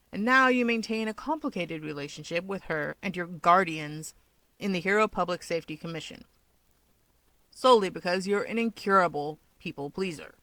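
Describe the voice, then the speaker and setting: A woman's voice: on the low side, a bit bright, dry recording, natural voice. A woman voicing a taunting, dismissive young man with a grudge in a fan fiction audiobook. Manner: sardonic, dismissive.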